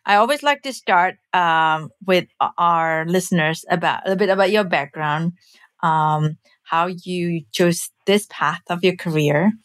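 A woman's voice: lowish voice, unhurried, a bit bright. A woman hosting an interview podcast, moving between scripted introduction and conversational questions. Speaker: podcast host.

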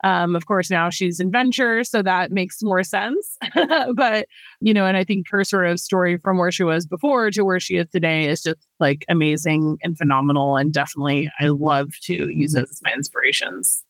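A woman's voice: fairly low pitch, moderate pace, no echo. Female founder, casual interview guest, rambling with fillers, dry recording. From grew up in Thailand, then the US. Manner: relaxed, rambling, many fillers like "you know" and "like".

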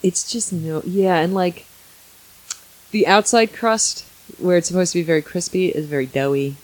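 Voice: mid-range voice, moderate pace, low-quality recording. A podcast host in their forties chatting casually, joking in a wry, exasperated way. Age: 40s. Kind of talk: casual banter.

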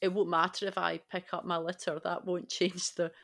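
A woman reflects aloud as a panellist in a podcast conversation. Her voice is a little on the low side, and she talks in a conversational way. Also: little pitch movement, normal speed, creaky, fairly bright tone.

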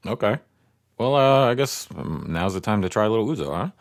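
Very deep-voiced male podcast host. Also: very animated, fairly quick, dry recording, studio quality. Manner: friendly, affirming, rising tag questions. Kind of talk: casual podcast conversation.

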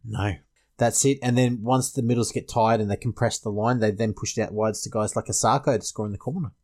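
Aussie guy hosting a casual fantasy footy chat, fairly low voice. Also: steady tone, brisk, darkish tone. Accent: Australian. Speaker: podcast host. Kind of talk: casual fantasy sports panel talk.

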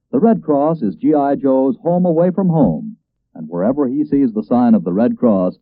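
A man's voice: medium pitch, no room sound. An American male announcer reads in 1940s radio style, with a mid-range voice and very varied intonation. The recording is dry and tinny, like an old broadcast.

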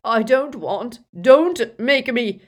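A woman's voice: low voice, animated, a little slow, a little mellow. A woman voicing an elderly male wizard mentor in an audiobook story, authoritative and insistent, with intense emotion. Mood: terrified pleading. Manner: emphatic, insistent, moaning and groaning under torment.

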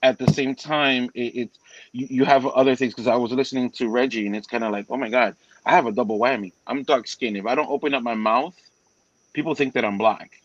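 A man talking earnestly in a casual group chat, pausing and restarting often. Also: mid-range voice, steady tone, no echo, highs cut off. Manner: halting, many restarts, earnest. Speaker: guest.

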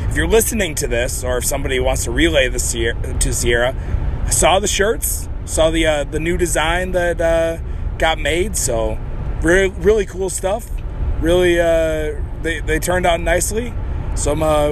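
A young man hosts his wrestling vlog, his voice hoarse from a cold and a touch high. He rambles casually, joking and restarting his sentences. Traffic and road noise run clearly underneath. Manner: loose and joking, lots of fillers and restarts.

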